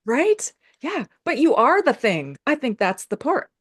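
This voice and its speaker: An effusive woman hosts a casual chat over a video call. Her pitch swoops widely and she laughs often. The sound is dry and close. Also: very animated, measured pace, breathy edge, no echo. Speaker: host.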